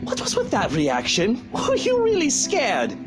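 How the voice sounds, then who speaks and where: Lively, cocky, teasing heir. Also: female voice, a little high, measured pace, music in the background. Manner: sardonic, animated. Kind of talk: visual novel character acting.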